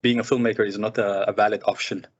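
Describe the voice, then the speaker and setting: A filmmaker who grew up in Sudan, speaking thoughtfully at a seminar with many fillers and repeated words. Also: male voice, on the low side, little pitch movement, fast. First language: Arabic. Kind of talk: reflective personal talk. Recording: muffled lo-fi recording.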